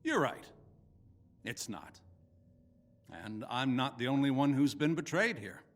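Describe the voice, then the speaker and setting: An actor playing a bitter, vengeful older scientist in a video game, speaking with cold, formal contempt. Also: male voice, medium pitch, fairly bright tone, long reverb tail. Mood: cold threat. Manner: contemptuous, formal, deliberate menace. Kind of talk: dramatic video game voice acting.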